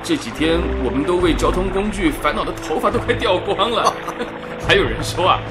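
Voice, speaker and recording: A man playing a good-natured, friendly wartime radio staffer in an expressive radio-drama style. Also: high voice, moderate pace, music in the background. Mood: cheerful.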